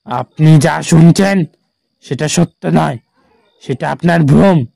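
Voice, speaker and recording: A man voicing a grave, cryptic village auto-rickshaw driver in an animated horror story. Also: fairly high pitch, slow, creaky, no room sound, heavily acted. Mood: grave warning. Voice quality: grave-toned.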